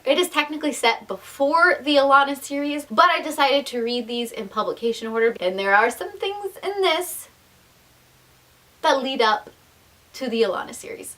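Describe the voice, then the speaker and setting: A woman's voice: sits high, bright, forceful tone, poor audio. A young adult woman chatting casually to viewers as a book vlogger, enthusiastic and emphatic, with self-deprecating asides.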